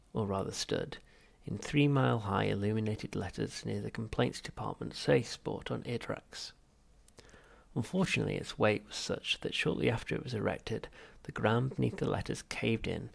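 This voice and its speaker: A man's voice: lowish voice, gravelly, slightly rough. A man reading a comic novel aloud as scripted audiobook narration. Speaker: audiobook reader.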